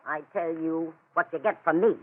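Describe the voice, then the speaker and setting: A woman's voice: deep voice, fairly even intonation, moderate pace. An actress playing a nagging, domineering working-class wife in an old-time radio drama, scolding and sarcastic as she cuts in. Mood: scornful, scolding. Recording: tinny, narrow-band vintage radio recording.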